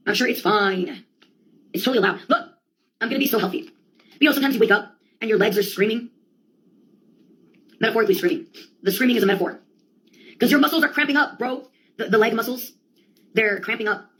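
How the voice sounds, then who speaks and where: A woman's voice: on the high side, brisk, long pauses, a bit bright. A woman telling a rambling comic monologue in a theatrical, slangy, sweary way. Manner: sweary, theatrical, slangy delivery with quoted outbursts.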